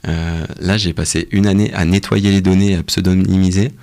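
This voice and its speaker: A young man with a low, gravelly voice is being interviewed as a researcher. He explains his work casually and haltingly, pausing often as he looks for words. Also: steady tone, a little mellow. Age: young adult. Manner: hesitant, halting delivery with frequent pauses. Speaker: interview guest, doctoral researcher.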